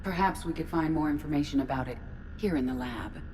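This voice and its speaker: An actress voicing a composed, analytical artificial intelligence crew member in a video game, with precise, measured and formal speech. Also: female voice, sits low, darkish tone, slight background noise, lightly acted. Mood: calm, analytical.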